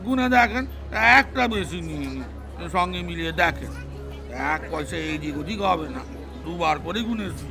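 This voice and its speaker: A man's voice: on the high side, expressive, normal speed, over music, a crowd in the background. A man playing an earnest, stubborn household servant in a Bengali audio story, deferential yet insistent and plaintive. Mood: aggrieved, insistent.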